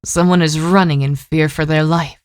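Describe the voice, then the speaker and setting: A woman's voice: deep voice, steady tone, normal speed, lightly acted. A woman narrating an audio drama, reading scripted scene descriptions in a composed way.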